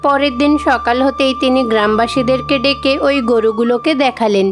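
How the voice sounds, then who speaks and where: A woman's voice: high voice, steady tone, fairly quick, over music. A woman reading scripted narration for a children's story as its narrator.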